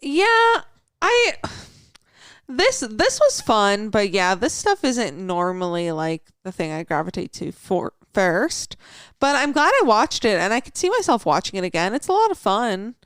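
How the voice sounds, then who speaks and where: A woman's voice: mid-range voice, very animated, a little slow, clear, resonant tone, no room sound, clean studio sound. A woman in casual podcast chat, upbeat and agreeable as a co-host.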